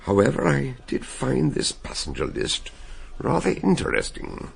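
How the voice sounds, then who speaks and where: An actor playing a genial, chuckling detective who also narrates a radio drama, with a gravelly, husky voice. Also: male voice, mid-range voice, wildly swooping pitch, unhurried, background noise, exaggerated voice. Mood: amused. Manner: genial, chuckling, fond of long words. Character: Dr Gideon Fell, jovial older male detective narrator.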